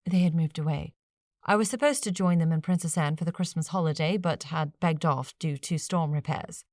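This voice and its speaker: A woman voicing a courteous, rueful eighteenth-century gentleman in an audiobook, with a formal, measured manner. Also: deep voice, dry recording, studio quality, lightly acted. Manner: formal, measured, old-fashioned wording. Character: courteous, rueful 18th-century gentleman, a lonely cursed man.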